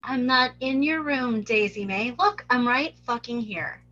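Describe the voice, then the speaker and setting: A woman's voice: on the high side, measured pace, sharp tone, muffled recording. A woman playing a brash, foul-mouthed, provocative young psychiatric patient in a live script table read. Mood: defiant, taunting.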